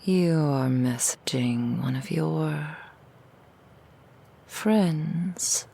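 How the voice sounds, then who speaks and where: A woman voicing a seductive, cruel female demon in an ASMR roleplay, in a slow, breathy whisper full of mocking, intimate taunts. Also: low-pitched, warm tone, full character voice. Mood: cruel taunting.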